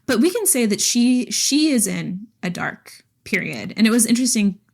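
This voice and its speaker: Casual woman podcast co-host, animated and informal. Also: on the high side, normal speed, creaky. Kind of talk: casual book discussion chat.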